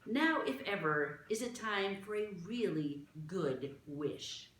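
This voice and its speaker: Woman playing a small old gentleman, gentle, unhurried, soft, bright voice. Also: medium pitch, lively intonation, voice pushed up. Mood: mild. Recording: roomy echo.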